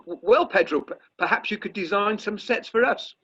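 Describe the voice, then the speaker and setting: Man acting a fussy, husky amdram director. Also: high-pitched, moderate pace, fairly bright tone, full character voice. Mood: polite. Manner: stammering, tactfully effusive. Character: fussy, polite amateur dramatics society director. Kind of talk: comic radio play acting. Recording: slightly dull video call audio.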